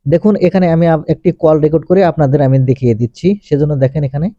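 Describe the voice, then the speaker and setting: A man's voice: fairly high pitch, rapid-fire, mellow, no echo. A man presenting a step-by-step tech tutorial as a voice-over, with repetitive, methodical phrasing. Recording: dull, lo-fi close recording.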